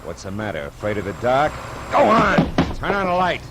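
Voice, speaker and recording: A man's voice: mid-range voice, huge pitch swings, fairly quick, heavy background noise. A man with an American accent playing a wisecracking, hard-boiled private detective in a 1950s radio drama, tough, clipped and sardonic. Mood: commanding. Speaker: lead actor and narrator. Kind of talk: 1950 radio detective acting. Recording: old radio broadcast with loud music behind.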